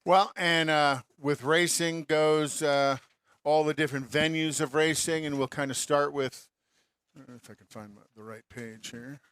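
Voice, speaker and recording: A man co-hosting an automotive show in casual, folksy chat, sometimes halting as he reads out listings. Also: on the high side, fairly even intonation, fairly bright tone, smooth, dry recording. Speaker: co-host of an automotive radio show.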